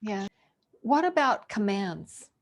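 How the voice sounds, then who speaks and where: A woman speaks as an interview host at a medium pitch. Her delivery is casual and conversational. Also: very animated, slow pace.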